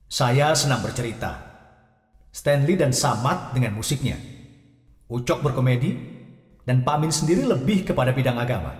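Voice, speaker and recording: A man's voice: medium pitch, close to an everyday voice. A man playing Ken, an earnest young radio founder, who also narrates the story in an audio drama. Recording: echoey room, mic at a distance, guitar music.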